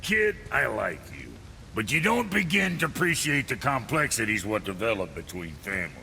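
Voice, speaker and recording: A man playing a gruff, proud dwarf blacksmith in a video game, blunt and folksy with ungrammatical speech. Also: mid-range voice, big pitch swings, moderate pace, bright, forceful tone, slight background noise, heavily acted. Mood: grumpy. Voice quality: gravelly. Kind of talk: video game character acting.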